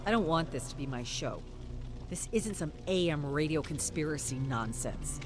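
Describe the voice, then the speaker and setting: A dismissive, stubborn TV producer, read by a game narrator with curt, clipped replies. His lines swing about in pitch, with game music clearly behind. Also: animated, normal speed, close to an everyday voice. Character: stubborn male TV show producer.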